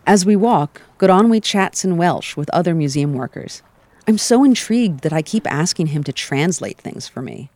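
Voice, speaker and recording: Calm American woman narrating a journey. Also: lowish voice, normal speed, dry recording. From United States. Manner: calm, reflective storytelling. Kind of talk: scripted travel narration.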